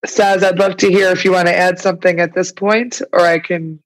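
A woman's voice: fairly low pitch, level tone, fairly quick, poor audio. New York woman hosting an interview. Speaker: podcast host, interviewer. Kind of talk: conversational interview.

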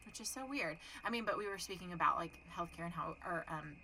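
A young woman with a Texan accent, talking in a casual, frank way and dropping the odd swear word. Her voice is bright and forceful, sitting a little low in pitch. Faint noise hums behind her. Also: quick pace, poor audio. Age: young adult. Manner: chatty, frank, swears casually, laughs often. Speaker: podcast co-host. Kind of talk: casual chat.

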